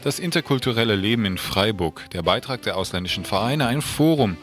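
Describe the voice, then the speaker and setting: A man's voice: fairly low pitch, lively intonation, fairly quick. A man presenting local radio event listings live, informally and with stumbles over his words. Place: radio studio. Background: music under the voice.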